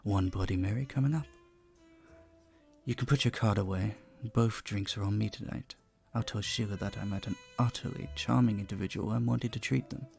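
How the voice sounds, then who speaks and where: A man playing an earnest, courteous, flirtatious vampire bartender in an intimate audio roleplay, speaking softly, politely and deliberately. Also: lowish voice, vocal fry, soft background music. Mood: warm, flirtatious.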